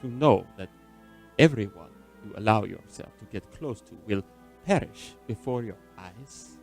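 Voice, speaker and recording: An actor in a radio drama playing a weary, secretive, centuries-old immortal man who speaks in formal, measured, eloquent phrases. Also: lowish voice, animated, gravelly. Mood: anguished, lonely. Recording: orchestral film music underneath.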